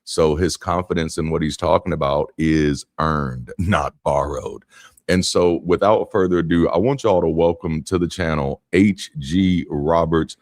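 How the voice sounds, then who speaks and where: A man's voice: very deep voice, a little slow, no echo, clean studio sound. A man hosting a livestream, greeting the chat with a playful, teasing manner and frequent laughter. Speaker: host.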